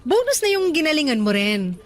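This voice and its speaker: An actress playing a talkative, gossipy young office worker in a radio drama, friendly and confiding. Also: female voice, high voice, sing-song, brisk.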